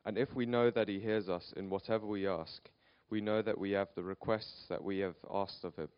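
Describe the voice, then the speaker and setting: A man reading a Bible passage aloud in a steady, careful manner. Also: fairly low pitch, a little fast, clear, resonant tone, no echo, dull audio. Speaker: scripture reader.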